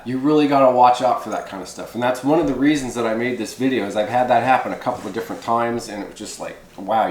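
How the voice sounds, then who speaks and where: A man's voice: medium pitch, a little mellow, slight background noise. A man hosting a hands-on how-to video in casual, folksy, rambling talk. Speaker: host of a hobby how-to video. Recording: echoey room.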